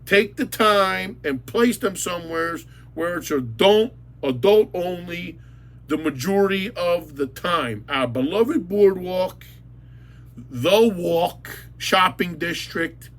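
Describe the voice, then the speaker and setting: Brief: New man, high pitch, blunt angry rant, repeats himself for emphasis. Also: animated, takes it slowly, fairly bright tone. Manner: blunt, insulting, repetitive, emphatic. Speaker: local commentator. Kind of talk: angry ranting monologue.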